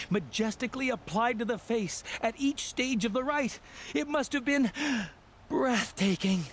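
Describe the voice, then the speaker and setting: A pompous male scholar from a video game, high in pitch and swooping with enthusiasm. He talks theatrically, like someone obsessed with ancient relics. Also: a little hiss or hum. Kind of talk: video game character acting.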